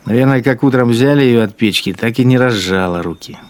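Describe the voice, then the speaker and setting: An actor playing a bitter, spiteful wartime machine-gunner in a radio drama, grumbling with sardonic scorn. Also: male voice, medium pitch, fairly quick, lightly acted. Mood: sardonic musing. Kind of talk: radio drama interior monologue.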